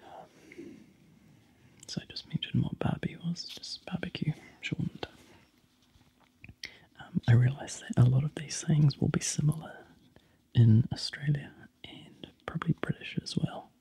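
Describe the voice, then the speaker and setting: A man with a New Zealand accent, whispering softly in ASMR style with frequent ums. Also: fairly high pitch, measured pace, long pauses, slightly rough.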